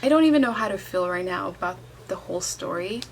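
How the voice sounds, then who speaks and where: A woman's voice: on the low side, expressive, fast, gravelly, a little hiss or hum. A young woman talking casually in a book vlog, chatty and candid, reacting aloud as she reads. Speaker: book vlogger. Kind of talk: casual reading vlog talk.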